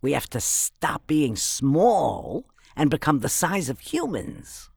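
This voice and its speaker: A woman playing a bossy older fairy, the brisk no-nonsense leader of her sisters, in a fairytale audio drama. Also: low voice, very animated, creaky, breathy edge, no echo, clean studio sound, exaggerated voice. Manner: commanding, no-nonsense.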